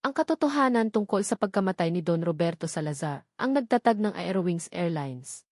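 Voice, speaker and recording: A woman's voice: fairly low pitch, expressive, brisk, a little mellow, dry recording, studio quality. A woman voicing a resolute man making a formal, firm public statement in an audio drama. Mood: solemn, determined. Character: resolute male lawyer hero, formal and steady.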